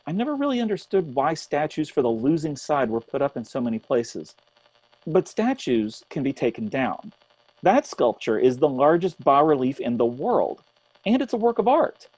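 A man's voice: mid-range voice, darkish tone, no room sound, poor audio. A man acting in a radio drama as an earnest, thoughtful radio host after a zombie apocalypse. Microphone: close studio mic on boom arm.

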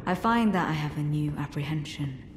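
A low-pitched woman knight speaks with solemn, formal composure. She is a devout zealot from a fantasy video game, coolly ruthless in every measured phrase. Faint ambient noise sits behind her. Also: animated. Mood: cold resolve. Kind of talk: scripted fantasy game dialogue.

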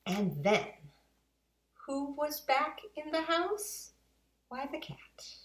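A woman in her forties reading a children's storybook aloud in an expressive, deliberate storytelling manner. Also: sits high. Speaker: guest reader. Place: home kitchen and dining room indoors. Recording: roomy home kitchen echo.

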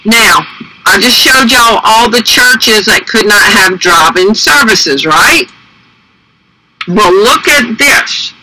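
A man's voice: high voice, lively intonation, takes it slowly, sharp tone, low-quality recording. A man giving emphatic commentary, repeatedly asking his audience "okay?". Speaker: conspiracy video commentator. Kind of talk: played video commentary.

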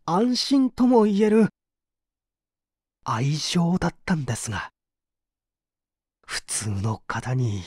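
A man's voice: fairly high pitch, darkish tone, dry recording, clean studio sound. A man playing an anguished painter confessing to an interrogator in a polite, emotive radio-drama reading. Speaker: narrator and reader.

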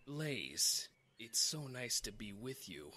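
A man's voice: medium pitch, very animated, slow, pushed and bright, breathy edge, full character voice. A man voicing a pompous, lecherous villain in a haughty, theatrical way for a comedic fan dub. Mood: haughty flirting.

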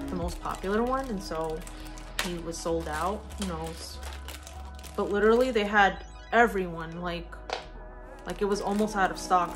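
A young woman chatting casually to viewers as an unboxing vlogger, gushing over cute things and talking to objects as she handles them. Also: lowish voice. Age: young adult. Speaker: vlogger unboxing collectible figures. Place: indoors, living room at home. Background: background music.

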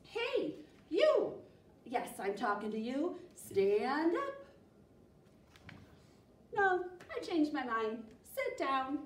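An excitable little storybook bug, acted out by a woman reading aloud, bossy and teasing. The voice is very high and swoops around, heard in an echoey room. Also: very slow, long pauses, far from the mic, slight background noise, full character voice. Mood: bossy, playful. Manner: commanding, teasing, playful.

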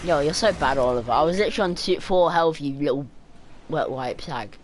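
Loud teasing girl gamer, lively pitch. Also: a little fast, slight background noise. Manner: loud, teasing, slangy banter. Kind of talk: casual gaming chat.

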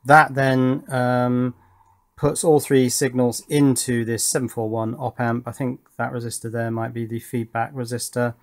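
A man's voice: medium pitch, flat delivery, unhurried. A man in his fifties giving casual, matter-of-fact commentary as he thinks aloud while assembling electronics at an indoor bench. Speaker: electronics hobbyist and video host. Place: indoors at a home workbench.

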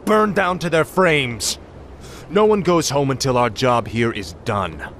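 An actor playing a proud, vengeful young warrior prince in a fantasy game, commanding and intense. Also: male voice, mid-range voice, expressive, a little slow, vocal fry, a little hiss or hum. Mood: furious. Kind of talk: fantasy video game cutscene acting. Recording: loud game music behind the voice.

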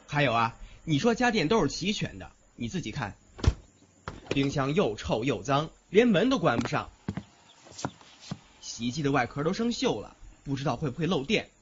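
A man's voice: a little high, very animated, normal speed, noisy background. A man playing a nitpicking young student tenant who bargains hard, in an audio drama. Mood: fussy, insistent. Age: young adult. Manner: nitpicking, insistent. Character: fussy, hard-bargaining young male student renting a flat. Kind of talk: Chinese audio drama acting. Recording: muffled recording with music behind.

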